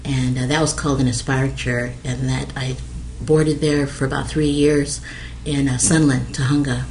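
A middle-aged woman from American Samoa speaks in a flat, level tone, pausing often with "uh" as she recalls things. The recording carries a faint hiss underneath. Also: extremely low pitch, rough recording. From American Samoa, raised in Hawaii. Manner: hesitant, many fillers. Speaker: interviewee. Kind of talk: oral history interview.

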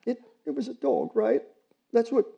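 A man's voice: sits high, wildly swooping pitch, fairly quick, highs cut off, voice pushed up, heavily acted. A man voicing a grieving, tearful woman. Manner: soft, tearful, breaking off mid-sentence. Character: grieving woman neighbor, distraught and choked. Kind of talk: audiobook character reading.

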